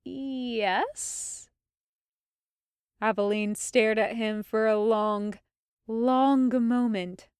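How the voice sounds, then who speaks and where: Female storyteller, expressive fiction narration, smooth clear tone, slow pace, close dry recording. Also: on the high side, no room sound. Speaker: podfic narrator.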